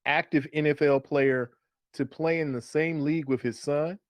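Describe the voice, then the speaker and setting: A man's voice: fairly high pitch, steady tone, normal speed, no room sound. A man co-hosting a fantasy football show in a casual, conversational way, posing questions. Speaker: fantasy football podcast co-host. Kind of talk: casual sports chat.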